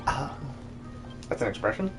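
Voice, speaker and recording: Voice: normal speed, music in the background. A game streamer who dubs characters, chatting casually during a stream.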